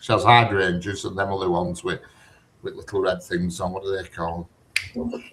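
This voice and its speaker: A man's voice: sits low, flat delivery, moderate pace, a bit bright, a little hiss or hum. A middle-aged man, rambling and cheeky in casual chat. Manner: rambling, chatty, dialect-heavy, cheeky. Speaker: conversation partner.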